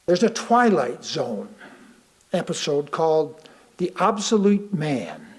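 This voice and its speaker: An American man with a high voice delivers a written sermon. His pace is slow and deliberate, and he leaves pauses to let key phrases land. He puts rhetorical questions to his listeners as he reads. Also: sing-song, breathy edge. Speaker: lay reader delivering a sermon. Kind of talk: sermon read aloud to a congregation.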